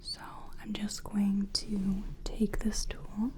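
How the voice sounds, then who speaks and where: A woman giving a soothing, gentle ASMR personal attention roleplay in a breathy whisper, speaking deliberately. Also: mid-range voice, completely flat delivery, slight background noise. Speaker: ASMR creator playing a soul-cleansing practitioner.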